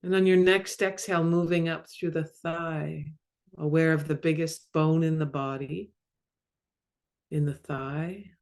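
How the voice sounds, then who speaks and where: A woman leading a guided meditation as a mindfulness coach, speaking calmly and measuredly in short phrases with long pauses. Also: deep voice. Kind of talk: guided body scan meditation.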